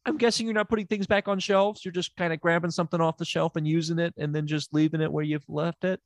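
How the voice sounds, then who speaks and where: A man's voice: fairly high pitch, little pitch movement, fast talker, dry recording, clean studio sound, lightly acted. A man playing a smug, unqualified therapist who rambles and stammers in an improvised comedy sketch. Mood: probing. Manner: rambling, stammering, self-assured.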